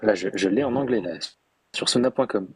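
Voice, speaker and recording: Fast-talking husky man pressing for sources. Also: on the low side, no room sound. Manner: insistent, interrupting, demands sources. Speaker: debater. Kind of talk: heated online debate.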